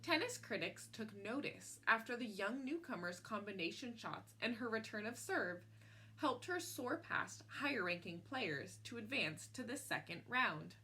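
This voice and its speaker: Bright-voiced woman reading a story aloud. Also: a little high, expressive, a little slow, slightly rough. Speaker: picture book reader. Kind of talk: expressive read-aloud storytelling.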